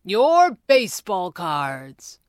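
Woman playing a smug, self-satisfied dad, animated delivery. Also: medium pitch, unhurried, dry recording, clean studio sound. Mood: proud. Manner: smug, hesitant interjections, proud. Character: self-satisfied middle-aged father imparting wisdom.

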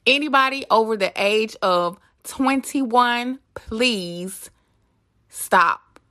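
A woman hosting a podcast in a casual, effusive, informal way, quick to laugh. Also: on the high side, bright, forceful tone, no room sound, clean studio sound. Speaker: podcast host.

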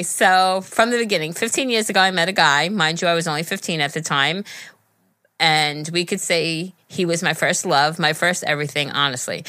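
An American woman, middle-aged, co-hosting a casual podcast where she chats and reads listener letters aloud with animated asides. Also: low voice, no room sound, clean studio sound. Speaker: podcast co-host.

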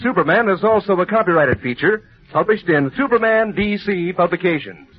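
A man's voice: sits high, expressive, fairly quick, husky, faint music behind. A male announcer reading 1940s radio ads in a peppy, salesman-like way. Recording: dull lo-fi old radio recording.

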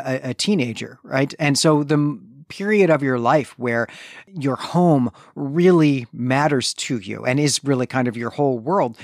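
A male podcast host talks through a literary recap in a conversational way. His delivery is thoughtful and halting, with phrases reworked as he goes. The voice sits at a middling pitch in a very dry, close space. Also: dry recording.

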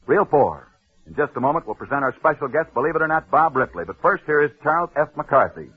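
A man hosting a radio sports newsreel, telling stories with dramatic pauses and punchy emphasis. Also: a little high, sing-song, slightly rough. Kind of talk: 1940s radio sports storytelling. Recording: tinny old radio broadcast.

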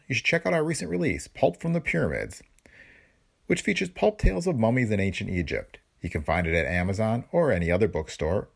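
A gravelly man hosts a podcast with a scripted introduction. His lowish, husky voice moves in a lively up-and-down pattern. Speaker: podcast host.

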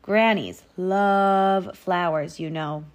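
A woman voicing a sly, greedy storybook wolf who talks in a smooth, courtly, mock-polite way. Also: on the low side, fairly even intonation, drawn-out words. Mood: slyly charming.

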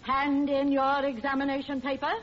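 An actress playing a prim, severe exam supervisor in an old radio comedy, formal and schoolteacherly. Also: female voice, high-pitched, completely flat delivery, moderate pace, lightly acted. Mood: indignant, severe. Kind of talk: 1940s radio comedy acting. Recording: muffled old radio recording, faint noise.

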